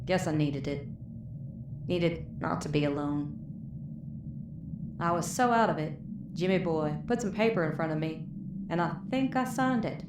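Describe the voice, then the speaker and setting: Tough, low-voiced Southern wasteland woman played by an actress. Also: expressive, unhurried, long pauses. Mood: wry, weary. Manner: folksy, profane, weary storytelling. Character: tough, bitter, foul-mouthed wasteland moonshiner woman, wounded and fading. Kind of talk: dramatic first-person storytelling in audio drama. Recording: roomy echo, clear background noise.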